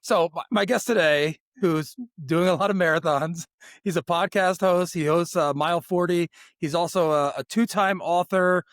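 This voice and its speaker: Higher-voiced male host, upbeat and informal. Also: steady tone, normal speed, no echo, studio quality. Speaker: podcast host. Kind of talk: casual interview chat.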